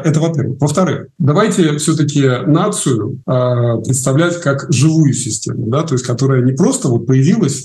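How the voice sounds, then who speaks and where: A man from Belarus, answering interview questions as a guest. He lays out his argument in a measured, explanatory way, pausing on fillers. His voice is mellow and warm, at an ordinary pitch. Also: rough recording.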